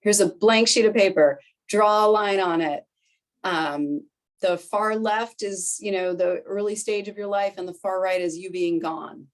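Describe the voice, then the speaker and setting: A woman's voice: mid-range voice, unhurried. A woman in her forties with a Boston accent, giving a chatty, conversational presentation to fellow professionals, full of "right?" and "like". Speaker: foundation director presenting to colleagues. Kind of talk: conference presentation.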